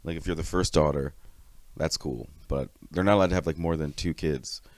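A young man appearing as a guest on a comedy podcast, cracking crude jokes, laughing a lot, and sometimes trailing off quietly. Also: a bass voice, steady tone, brisk, no room sound. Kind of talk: casual comedy podcast banter.

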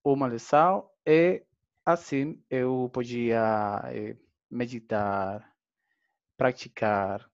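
Slow, hesitant man. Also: on the low side, gravelly, highs cut off. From Dominican Republic. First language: Spanish. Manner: careful, hesitant learner speech with fillers. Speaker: language student. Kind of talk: interview answers.

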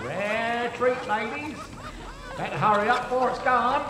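Medieval spice hawker, really high voice, chummy sales patter, echoey noisy street. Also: male voice, a little slow. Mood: brisk, hawking. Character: brisk medieval spice seller crying his wares. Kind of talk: audio drama acting, street market calling. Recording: echoey, noisy street scene with clopping hooves.

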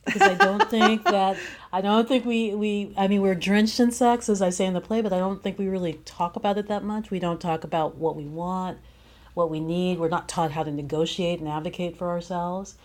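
A woman's voice: lowish voice, a little fast. A woman speaking as an interview guest about their creative work, reflective and earnest, developing long thoughts. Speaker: teacher.

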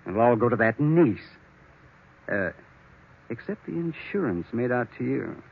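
Husky, pushy crooked ex-lawyer. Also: male voice, medium pitch, big pitch swings, normal speed, exaggerated voice. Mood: insinuating. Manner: blunt, needling. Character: hard, scheming ex-lawyer, pushy and insinuating. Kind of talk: old-time radio acting. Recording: muffled old radio recording.

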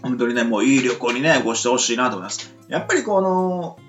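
A man's voice: mid-range voice, animated, quick pace, fairly bright tone, lo-fi sound, soft background music. A man hosting a casual radio show in a chatty manner, tagging his phrases with frequent little particles.

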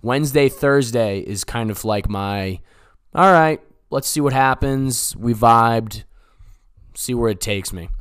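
A man's voice: on the low side, smooth, no echo, studio quality. A man hosting a casual solo comedy podcast, talking in a rambling, jokey, sweary way like a stand-up. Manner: rambling, profane, jokey self-interrupting delivery. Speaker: podcast host.

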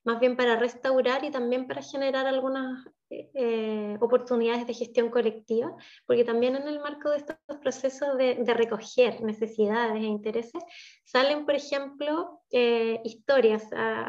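A woman's voice: a little high, steady tone, moderate pace, a little mellow. A woman giving a talk on wetlands, thoughtful, with many restarts and fillers, in distant, dull lo-fi audio. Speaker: invited speaker on wetlands. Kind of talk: presentation in an online talk.

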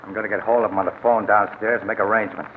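Man acting hard-boiled gangster, American, low pitch, clipped slang, cold menace, tinny vintage radio drama. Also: fairly even intonation, racing, close to an everyday voice. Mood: sullen, scheming. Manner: clipped tough-guy underworld slang. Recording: tinny, hissy old radio broadcast.

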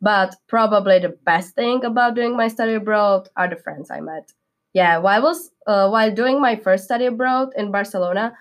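A young woman from the Czech Republic, a native Czech speaker with a Czech accent, talking upbeat in a casual podcast as an exchange student guest. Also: medium pitch. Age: young adult. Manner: upbeat, laughs often, careful non-native English.

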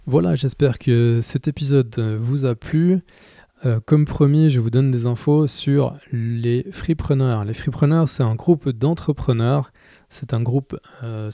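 A man's voice: mid-range voice, creaky, warm tone, no room sound. A man hosting a podcast, giving an informal outro with lots of hesitations, recorded close and dry with faint music underneath. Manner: informal, hesitant with frequent fillers. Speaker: podcast host.

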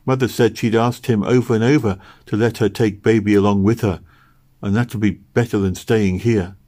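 A man's voice: lowish voice, steady tone, moderate pace, warm tone, lightly acted. A man voicing a grieving poor little girl who pleads tearfully and earnestly. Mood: sad.

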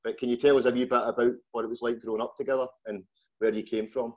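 A man's voice: medium pitch, level tone, fast talker, dark tone. A man with a Glaswegian Scottish accent hosting a casual interview, talking in an informal, matey, colloquial way. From Scotland, just outside Glasgow. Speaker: podcast host. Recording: dull, lo-fi call audio.

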